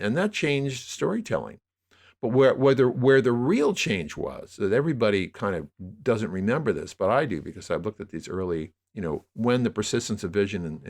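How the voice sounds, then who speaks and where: Male podcast guest, lower-pitched voice, talks through ideas conversationally as an emphatic, discursive storyteller. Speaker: podcast guest, audio drama producer. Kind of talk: conversational interview, explaining media history.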